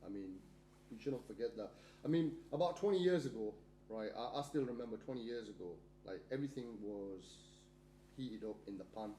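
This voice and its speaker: A man hosts a podcast in a casual, chatty way, telling little stories from his day. His voice is dark and mellow. The room around him is roomy and echoey, with a faint hum underneath. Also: lowish voice, animated, fairly quick. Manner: chatty, tells anecdotes. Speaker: podcast host. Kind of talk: casual chat.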